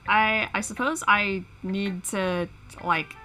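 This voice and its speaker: A woman's voice: medium pitch, steady tone, takes it slowly, sharp tone, faint music behind. A woman chatting casually on a livestream, giggly and amused at herself. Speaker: livestream panel guest, fan story creator. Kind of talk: casual chat.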